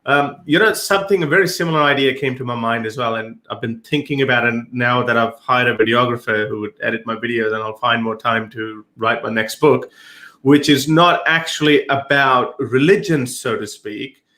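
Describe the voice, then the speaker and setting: Bright-voiced man, stammering guest. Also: medium pitch, normal speed, roomy sound. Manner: stammering restarts. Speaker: guest, YouTuber. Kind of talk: casual chat.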